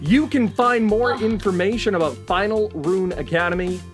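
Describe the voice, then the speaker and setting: A man hosting a comedy panel game in a deliberate, mock-ceremonial way with dramatic pauses. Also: sits high, soft background music. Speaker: game show host and headmaster.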